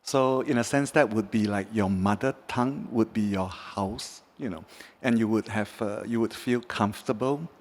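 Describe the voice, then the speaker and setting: A man's voice: lowish voice, darkish tone. A man from Singapore speaking on a panel, hesitant and reflective, with frequent stutters and restarts. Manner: hesitant, slow, stuttering delivery. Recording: reverberant hall.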